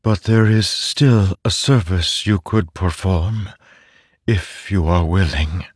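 A man voicing a timid, ancient female spirit of the wind in an audiobook, trembling and uncertain, gently pleading. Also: low voice, slow pace, no room sound, clean studio sound. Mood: tentative, fearful.

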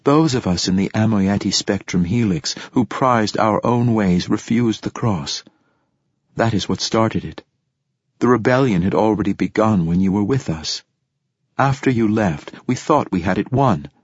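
Man voicing a battle-worn rebel woman, husky and grave. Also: lowish voice, unhurried, no room sound, dull audio. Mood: grimly defiant.

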